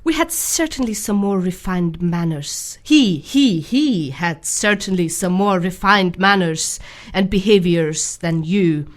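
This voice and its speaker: A woman's voice: fairly low pitch, animated, takes it slowly, hardly any pauses. A woman playing a haughty, bitter young lady of the moors in a radio drama. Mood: scornful, reproachful.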